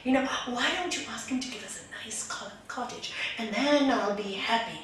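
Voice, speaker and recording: A woman's voice: fairly high pitch, normal speed, a bit bright, slight background noise, lightly acted. A never-satisfied fisherman's wife from a folk tale acted out by a woman storyteller, coaxing and insisting in a raised voice, repeating her wishes. It is heard from afar in a very echoey room. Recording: very echoey room, distant mic.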